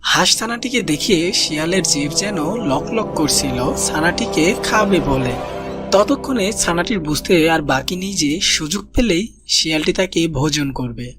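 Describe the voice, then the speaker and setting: A man narrating a children's cartoon story in a gentle storybook manner, speaking to young listeners as friends. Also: fairly high pitch, animated, unhurried. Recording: voice-over with loud background music.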